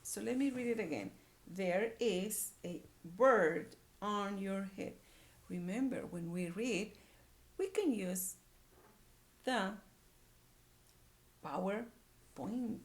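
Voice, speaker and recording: A woman's voice: mid-range voice, takes it slowly, pauses often. A woman teaching a read-aloud lesson to young children, repeating words and phrases and coaxing her listeners along with questions. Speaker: early-grade reading teacher.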